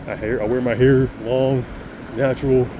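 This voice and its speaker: A man with a deep voice giving an earnest, rambling monologue to camera, restarting and stammering often. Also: flat delivery, normal speed, dull audio, noisy background. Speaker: vlogger.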